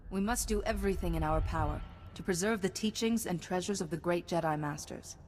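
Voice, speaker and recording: An actress playing a principled female Jedi who speaks in a firm, measured way, in a video game. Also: fairly low pitch, a little mellow, big-room sound, a little hiss or hum, lightly acted. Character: stern female Jedi ally, principled and dry.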